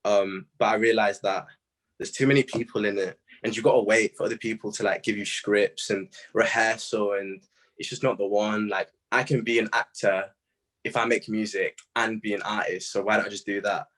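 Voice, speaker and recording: A young British man in a casual interview, telling stories in slangy, animated speech full of quoted asides. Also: on the low side, normal speed. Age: young adult. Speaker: music artist being interviewed.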